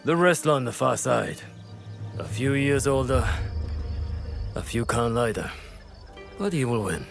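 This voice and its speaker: An actor playing a shrewd strategist who explains tactics in a measured, lecturing way. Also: male voice, mid-range voice, lively intonation, creaky, soft background music. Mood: knowing. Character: shrewd older male strategist, calm and knowing.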